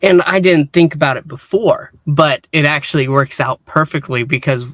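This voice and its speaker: A man with a slightly higher-pitched voice talks through a casual review as a podcast host. He often restarts his phrases in a halting way. The sound is dry, with hardly any room echo. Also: fairly bright tone.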